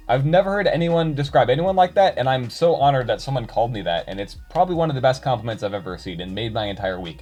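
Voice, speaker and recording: A man's voice: medium pitch, a little mellow. A young man chatting casually to his viewers, rambling with many fillers and restarts. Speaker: YouTuber reading comments.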